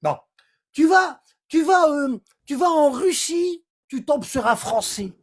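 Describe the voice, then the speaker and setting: A livestreamer in casual commentary, explaining scripture in an animated way and often checking in with short questions to the listener. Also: high-pitched, slow. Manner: animated, acts out little dialogues, keeps checking in with "hein?" and "d'accord?". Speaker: livestreamer explaining Quran verses.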